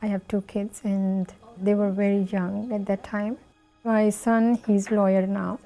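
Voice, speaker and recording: Middle-aged woman, soft simple phrasing, mellow flat voice. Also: mid-range voice, unhurried, soft background music. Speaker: mother. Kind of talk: documentary interview.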